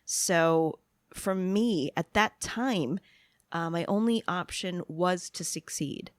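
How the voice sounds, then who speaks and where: A woman's voice: lowish voice, slow, no room sound, clean studio sound. A woman from New York City, a media producer, talking casually in an interview with frequent laughter and self-deprecating asides. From New York City, USA.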